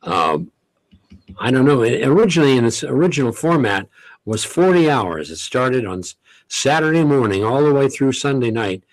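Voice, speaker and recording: A man's voice: medium pitch, big pitch swings, normal speed, no echo, poor audio. Older man reminiscing in a dry studio. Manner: chatty, anecdotal, chuckles often. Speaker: longtime radio show host, guest. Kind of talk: relaxed reminiscing studio talk. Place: radio studio. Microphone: studio mic, headphones. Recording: dry close studio sound.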